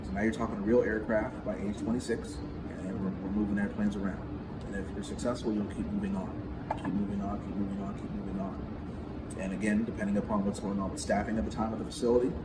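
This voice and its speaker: A man working as an air traffic controller explains his job in an interview, emphatically, often checking with a short tag question that the listener follows. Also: lowish voice. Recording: noisy, echoey room, distant mic.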